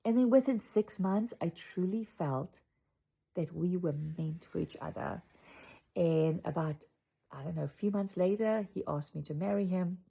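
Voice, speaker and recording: An older woman with a South African accent talks straight to her viewers, wandering into asides as she reminisces. Her voice sits a little low and carries a gravelly fry. She sounds unhurried and conversational, recorded at home. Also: mellow. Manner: chatty and reflective, drifting into asides. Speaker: channel host. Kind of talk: casual personal storytelling to camera. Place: home room with a Christmas tree.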